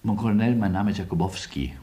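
Man playing a polite, nervous little gentleman. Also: lowish voice, quick pace, close to an everyday voice. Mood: eager, admiring. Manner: courteous, eager, nervous. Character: polite, nervous, admiring Polish-born gentleman. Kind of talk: radio drama acting.

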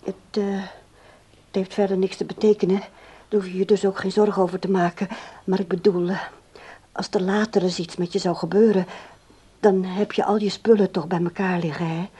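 Woman acting an uneasy daughter, soft husky voice. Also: medium pitch, flat delivery, a little fast, natural voice. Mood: evasive, probing. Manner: hesitant, stammering, brittle. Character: uneasy, self-interested adult daughter of a sick father. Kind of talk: radio play acting.

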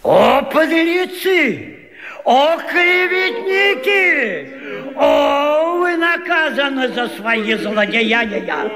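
High-pitched pompous padishah. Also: male voice, big pitch swings, extremely slow, in a large hall, full character voice. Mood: indignant. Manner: declamatory, theatrical. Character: proud padishah, male ruler, pompous and easily indignant. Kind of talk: radio vaudeville acting.

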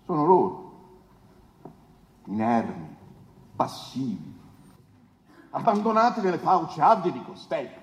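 Casting: a pompous, insinuating defence lawyer, theatrical oratory, higher-pitched male voice with dramatic swings, in a reverberant hall. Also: huge pitch swings. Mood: insinuating, emphatic. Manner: pompous, declamatory, rhetorical pauses and questions. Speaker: stage actor. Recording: echoey hall with long reverb.

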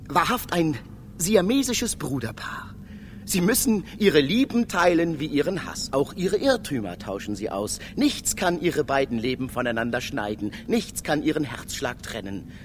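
An actor playing a mysterious, learned philosopher who lectures in a declamatory way in a radio drama. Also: male voice, mid-range voice, slight background noise, natural voice. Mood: solemn, oracular. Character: mysterious philosopher double, male, learned and oracular.

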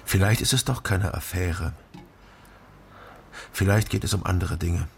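An actor playing a dry, terse East Berlin private detective in a naturalistic radio crime drama. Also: male voice, sits low, a little slow, creaky, a little hiss or hum. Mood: dry, probing.

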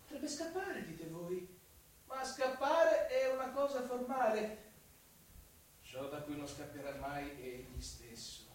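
An actor playing a cunning, mocking investigator in a theatrical Italian radio drama, insinuating and menacing, swinging from hushed to loud. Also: male voice, sits high, sing-song, slow, full character voice. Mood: menacing, gloating. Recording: very echoey, distant microphone, faint noise.

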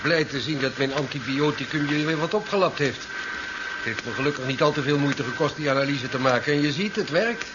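An actor playing a helpful, self-assured scientist's assistant in a 1970s Dutch radio drama, polite and informative. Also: male voice, fairly high pitch, fairly quick, natural voice. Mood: pleased. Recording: noisy, dull 1970s radio recording.

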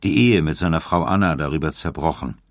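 Male documentary narrator, bass register with plenty of fry, sober and measured, close dry sound. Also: dry recording.

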